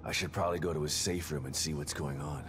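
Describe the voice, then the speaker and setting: A man playing a gruff, weary, determined game protagonist, speaking tersely and dryly in a low voice. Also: steady tone, fast. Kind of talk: video game cutscene acting.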